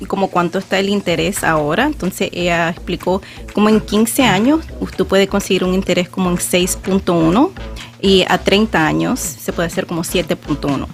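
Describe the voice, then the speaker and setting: A woman's voice: fairly low pitch, fast, creaky. A woman explaining informally as a radio guest, a little hesitant as she goes. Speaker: radio guest relaying in Spanish. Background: music.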